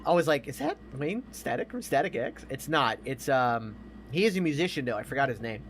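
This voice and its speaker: A man's voice: mid-range voice, big pitch swings, fairly quick, slight background noise. An American man in his thirties, a podcast co-host chatting casually and emphatically about films, with plenty of swearing.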